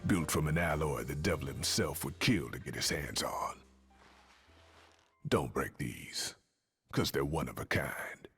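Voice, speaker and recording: A man playing a gruff, world-weary, streetwise bar owner and weapons dealer in a video game cutscene, with a cool drawl. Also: very deep voice, measured pace, long pauses. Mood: proud, sly. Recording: loud music behind the voice. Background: music.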